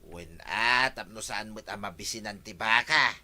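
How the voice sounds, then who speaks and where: A man's voice: lowish voice, normal speed, natural voice. A man with an Ilocano accent acting a scheming, excuse-making neighbour in a radio comedy drama. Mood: defensive.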